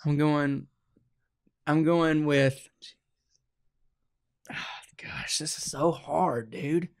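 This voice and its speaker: A man's voice: a little high, steady tone, extremely slow, vocal fry. A man in his twenties chatting casually as a podcast host, joking and playfully mock-dramatic, close on the mic. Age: 20s. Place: small room indoors. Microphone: handheld-close podcast microphone on a stand.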